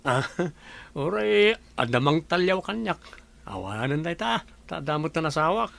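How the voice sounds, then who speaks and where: A man's voice: a little high, very animated, unhurried, vocal fry, fairly bright tone, full character voice. A man with an Ilocano accent playing a nosy, teasing office colleague in a radio drama. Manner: teasing, inquisitive.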